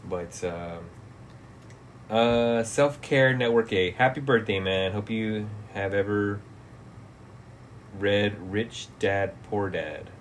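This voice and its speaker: Laid-back low-voiced man in his thirties. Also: takes it slowly, long pauses, a little hiss or hum. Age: 30s. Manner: laid-back, profane, laughs often, trails off into mumbles. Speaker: streamer. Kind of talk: casual livestream chat, reading chat comments.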